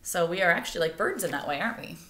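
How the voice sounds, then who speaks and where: A woman reads a nature picture book aloud as expressive narration meant for children. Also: fairly low pitch, rapid-fire, a little hiss or hum. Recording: echoey room.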